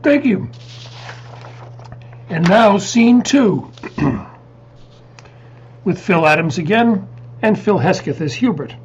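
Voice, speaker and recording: A man's voice: sits high, big pitch swings, slow pace, pauses often, slightly rough. A man announcing and introducing a scene at a readers theatre performance. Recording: dull, distant sound.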